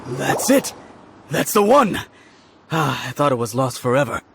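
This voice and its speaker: A man voicing a wealthy, mild innkeeper in an anime game, polite and earnest, with a gravelly voice. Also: mid-range voice, very animated, unhurried, background noise. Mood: relieved. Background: game music.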